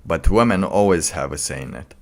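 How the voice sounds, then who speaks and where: A man giving casual, off-the-cuff commentary as a streamer, in a halting, rambling way. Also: sits low, lively intonation. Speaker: streamer reacting to a video.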